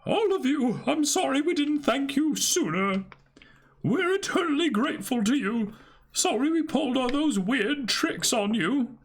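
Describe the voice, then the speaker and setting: A man's voice: very high-pitched, animated, measured pace, voice pushed up, heavily acted. A man voicing a gushing, lovestruck woman from a comic, effusive and flirty. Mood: gushing gratitude. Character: gushing, lovestruck woman grateful to her rescuers.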